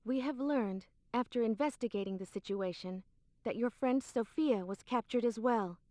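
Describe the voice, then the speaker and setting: A woman's voice: a little high, moderate pace, dark tone, close to an everyday voice. An actress playing a composed, secretive young woman in a video game cutscene, with a cool, matter-of-fact delivery. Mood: calm, serious. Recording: roomy, faint game music behind.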